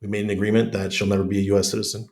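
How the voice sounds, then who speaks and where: Middle-aged American male, low voice, congested chest, blunt salty talker in a relaxed podcast chat. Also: rapid-fire. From United States. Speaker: podcast guest. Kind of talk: casual conversational interview.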